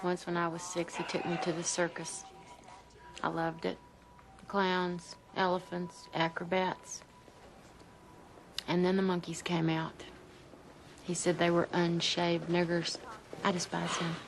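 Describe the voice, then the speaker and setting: A woman's voice: high-pitched, expressive, normal speed, noisy background. An actress playing a defiant Southern mother in a film drama, outspoken and sardonic, with a Southern US accent. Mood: bitter. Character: fiery, defiant Southern mother with biting sarcasm.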